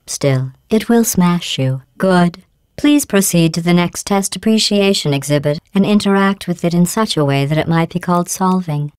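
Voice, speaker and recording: An actress voicing a cold, sarcastic female AI who runs a test facility, speaking in a deadpan, mock-polite, passive-aggressive tone as video game dialogue. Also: lowish voice, clear, resonant tone, dry recording, close to an everyday voice.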